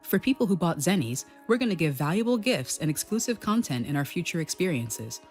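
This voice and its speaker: A woman delivering a scripted company announcement as a spokeswoman, in a formal, measured way. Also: lowish voice, big pitch swings, a little mellow, faint music behind. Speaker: game studio spokeswoman.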